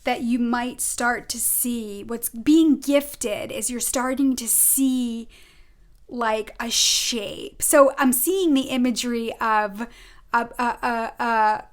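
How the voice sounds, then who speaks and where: A woman's voice: fairly high pitch, slow pace. A woman with a Rhode Island accent giving an earnest, effusive weekly oracle card reading as a spiritual advisor. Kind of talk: informal spiritual guidance monologue.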